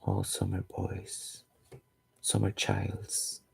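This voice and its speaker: A man in his forties from Costa Rica, a native Spanish speaker with a Costa Rican accent and a gravelly, husky voice, chatting casually and searching for words as he draws. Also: sits low, very animated, extremely slow, darkish tone. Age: 40s. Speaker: comic artist streaming his drawing. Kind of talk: casual chat while drawing.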